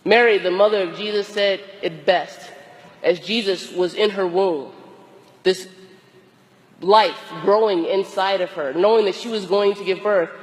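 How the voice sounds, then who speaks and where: A hoarse, tired woman priest with a cold gives a slow, deliberate sermon with long pauses. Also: medium pitch, steady tone, long reverb tail, rough recording. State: hoarse, losing her voice from a cold; exhausted. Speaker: Episcopal priest. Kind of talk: reflective sermon.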